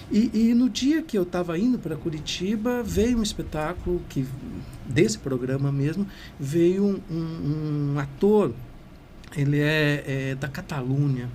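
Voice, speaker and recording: A man's voice: fairly high pitch, measured pace, darkish tone, slight background noise. Enthusiastic Brazilian man telling a story. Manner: enthusiastic, rambling, often tags "né?". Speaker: culture manager and interview guest. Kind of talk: storytelling conversation.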